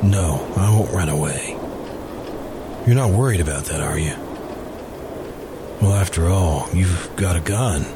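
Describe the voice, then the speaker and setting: A man playing a polite, grieving confessed murderer in a hushed, pleading manner, in the style of old-time radio suspense. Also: low voice, unhurried, long pauses, heavy background noise, pitched below the performer's own voice. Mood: quiet pleading.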